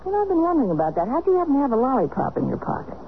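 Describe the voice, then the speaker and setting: Wry, weary wife on muffled radio. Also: female voice, a little high, wildly swooping pitch, racing, creaky, heavily acted. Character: weary, reluctant wife facing sudden motherhood. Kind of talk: 1970s radio drama acting. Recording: muffled, noisy old radio broadcast recording.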